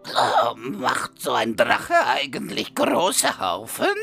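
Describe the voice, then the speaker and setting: A woman playing a quirky, curious female adventurer with a drawn-out, musing manner in a comedic radio play parody. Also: very deep voice, huge pitch swings, gravelly, fairly bright tone, soft background music, full character voice.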